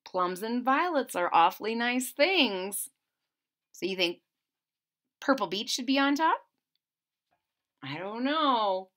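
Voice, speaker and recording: Slow, high-pitched woman reading to children. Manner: expressive, asks the listeners questions. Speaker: storybook reader. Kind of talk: children's read-aloud with asides to listeners.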